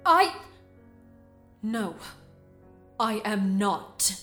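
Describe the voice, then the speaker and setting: Very slow, frightened Puritan woman. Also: mid-range voice, very animated, a bit bright, soft background music, exaggerated voice. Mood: wary, frightened. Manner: hesitant, halting. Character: wary accused Puritan woman, guarded and frightened. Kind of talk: audio drama acting.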